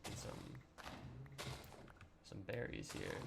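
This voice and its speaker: A young man with a slightly raspy, sore voice, chatting casually and rambling through a let's-play with lots of ums. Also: slow, background noise. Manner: relaxed, rambling with many ums and throat clearing. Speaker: gaming channel host.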